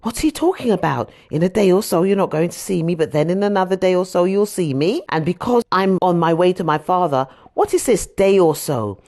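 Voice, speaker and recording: A woman voicing the eager, earnest disciples in an audiobook reading of scripture, in an animated way. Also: lowish voice, fairly quick, hardly any pauses. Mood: puzzled.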